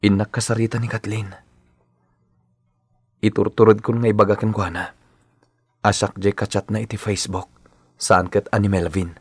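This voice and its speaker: A man's voice: lowish voice, flat delivery, normal speed, long pauses, husky, dry recording. A man with an Ilocano accent acting a shy, lovesick young engineer, hesitant in speech, in a radio drama. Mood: shy, nervous. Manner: bashful, hesitant.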